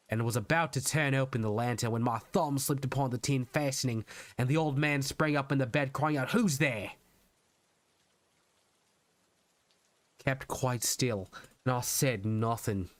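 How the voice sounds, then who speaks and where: A man acts out an unhinged murderer who proudly insists on his sanity. His medium-pitched voice is theatrical and deliberate, leaning on pauses and emphatic repetition. It is a Gothic horror monologue, recorded very dry. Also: no echo, close to an everyday voice. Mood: tense, sly. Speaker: VTuber practicing voice acting.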